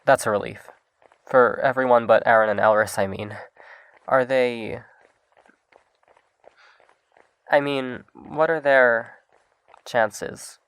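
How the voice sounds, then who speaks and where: An anxious man making his way alone through a zombie apocalypse. He is awkward and wry, with a medium-pitched voice in a dry recording, in casual audio drama dialogue. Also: fairly even intonation, measured pace, a bit bright, smooth, no echo.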